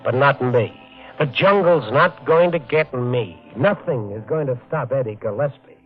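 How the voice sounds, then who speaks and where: Actor as an obsessed, haunted treasure hunter, tinny old radio. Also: male voice, mid-range voice, a little slow, natural voice. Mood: obsessed, desperate. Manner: intense, brooding, haunted. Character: obsessed treasure hunter slipping into murderous madness. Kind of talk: 1950 radio drama first-person narration. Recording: tinny vintage radio broadcast, music underneath.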